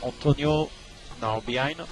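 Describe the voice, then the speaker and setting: A man's voice: mid-range voice, a little slow. A man working as a race engineer, giving clipped, procedural instructions over team radio. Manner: clipped, procedural, repetitive phrasing. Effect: team radio transmission. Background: loud car engine and radio noise.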